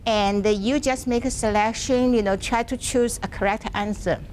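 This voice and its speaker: Soft-spoken woman with a bright pitch. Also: steady tone, a little hiss or hum, poor audio. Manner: halting, frequent restarts and fillers. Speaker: workshop presenter. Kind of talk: academic workshop lecture. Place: university classroom, seated mid-room. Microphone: no microphone, room sound.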